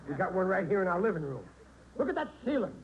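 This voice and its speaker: Blustering, high-voiced 1940s sitcom dad. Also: male voice, very animated, fast. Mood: indignant, blustering. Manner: loud, bossy, complaining. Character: blustering, exasperated working-class husband and father, middle-aged. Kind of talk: 1940s radio sitcom acting. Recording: dull, noisy old 1940s radio recording.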